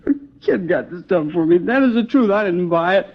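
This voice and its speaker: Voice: mid-range voice, animated, brisk, slight background noise, lightly acted. An actor playing a distraught teenage boy, crying and whining as he pleads, in an old radio crime drama. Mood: tearful, pleading. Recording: dull old radio recording.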